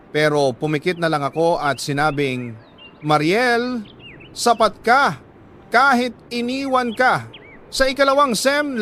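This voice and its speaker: A Filipino man narrates an emotional story in the first person. His voice sits slightly high for a man and he delivers short, measured, dramatic phrases. There is light noise behind him. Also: a bit bright. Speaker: radio drama storyteller reading a listener's letter.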